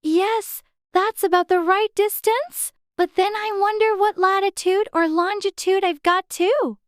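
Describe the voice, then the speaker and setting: A woman's voice: very high voice, steady tone, measured pace, dry recording, clean studio sound. A woman playing a curious, chatty little girl who muses aloud in an earnest, prim way for an audiobook. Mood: musing, curious. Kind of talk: dramatized audiobook character acting.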